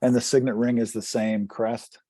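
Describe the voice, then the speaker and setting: A man's voice: mid-range voice, level tone, normal speed, mellow, dry recording. A man chatting casually as a player in a tabletop role-playing game.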